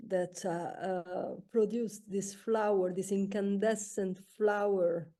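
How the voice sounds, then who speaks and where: A woman moderating a poetry round table, recapping each poem and passing turns along. Her voice is mellow and dark-toned at a middle pitch, and she pauses often on fillers. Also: steady tone.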